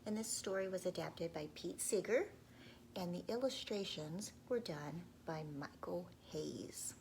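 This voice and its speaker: A woman reading a folk tale aloud to children, pausing to ask them questions and dropping into whispers. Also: on the low side, gravelly, darkish tone, slight background noise. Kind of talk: children's read-aloud storytelling.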